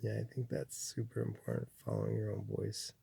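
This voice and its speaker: A man from Chile giving a slow, rambling spiritual monologue, full of restarts and pauses. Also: monotone, warm tone. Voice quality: gravelly, husky low voice. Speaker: spiritual speaker.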